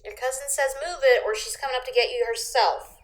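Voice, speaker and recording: A woman's voice: fairly high pitch, steady tone, brisk, slight background noise, lightly acted. A woman playing a helpful, nudging young female friend in an audio drama, with a casual, teasing manner. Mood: eager, teasing.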